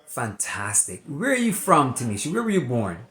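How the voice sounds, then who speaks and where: Male interview host, medium pitch, formal intro, echoey room. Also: wildly swooping pitch, moderate pace, a bit bright. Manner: formal show introductions, short questions. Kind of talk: interview hosting.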